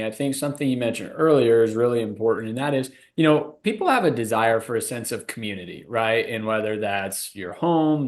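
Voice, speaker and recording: A man interviewing a guest conversationally, with measured, discursive lead-ins that sum up and then prompt. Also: mid-range voice. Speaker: podcast interviewer.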